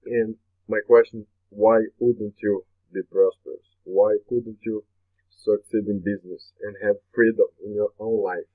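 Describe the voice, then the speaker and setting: A man's voice: fairly low pitch, little pitch movement, measured pace. A man from Brazil with a Brazilian Portuguese accent, a native Portuguese speaker, hosting a motivational webinar in an earnest manner and often repeating his phrases. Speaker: webinar host. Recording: tinny phone-like webinar audio.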